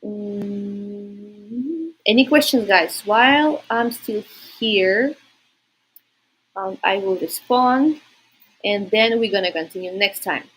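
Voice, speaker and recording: A woman's voice: mid-range voice, takes it slowly, long pauses, a bit bright, husky, low-quality recording. A woman from Russia with a Russian accent, chatting informally and directly to viewers as a livestream host. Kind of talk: casual livestream chat.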